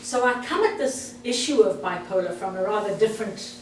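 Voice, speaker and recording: A woman's voice: medium pitch, lively intonation, a little fast, a little hiss or hum. A woman in her sixties with a South African accent, giving a chatty talk to an audience. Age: 60s. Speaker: guest speaker. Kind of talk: presentation to an audience. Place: meeting hall. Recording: echoey hall, mic far off.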